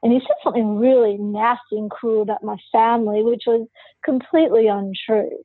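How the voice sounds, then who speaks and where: A woman's voice: a little high, fairly even intonation, brisk, breathy edge, no echo, phone-quality sound. A woman who is a podcast guest, telling her personal story candidly and forthrightly in an interview.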